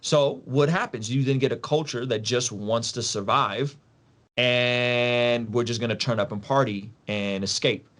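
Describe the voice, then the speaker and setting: A man's voice: mid-range voice, measured pace, fairly bright tone. A man from Soviet Russia, a podcast guest explaining things in an emphatic, casual, slangy way, repeating phrases and posing rhetorical questions.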